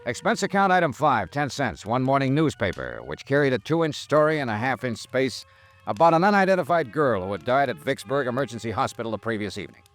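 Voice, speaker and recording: An actor playing a terse, sardonic hard-boiled insurance investigator in an old-time radio noir drama, with an American accent and a clipped, wry delivery. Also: male voice, mid-range voice, very animated, a little fast, a bit bright. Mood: wry narrating. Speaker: detective lead and narrator.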